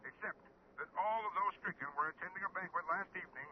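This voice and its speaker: An actor playing a radio newscaster with a crisp, urgent delivery in a radio drama. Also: male voice, high-pitched, fast talker, natural voice. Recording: muffled, noisy old radio broadcast.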